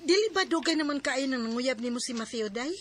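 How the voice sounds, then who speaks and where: A woman's voice: high voice, expressive, brisk, slight background noise. An actress playing a frank young woman in a Philippine radio drama. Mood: teasing.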